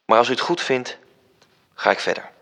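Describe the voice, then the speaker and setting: A man from the Netherlands performing as a literary narrator in a radio play, telling the story in a measured way. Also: mid-range voice, lively intonation, creaky, sharp tone, exaggerated voice. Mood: measured, storytelling.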